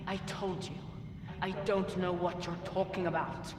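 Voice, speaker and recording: A woman's voice: fairly low pitch, level tone, unhurried, noisy background, close to an everyday voice. Woman playing a defiant captive in an echoing hall. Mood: bitter, guarded. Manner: defiant, scornful. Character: defiant captive woman shopkeeper, bitter and scornful. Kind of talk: scripted dramatic game dialogue. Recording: distant echoey hall with background crowd.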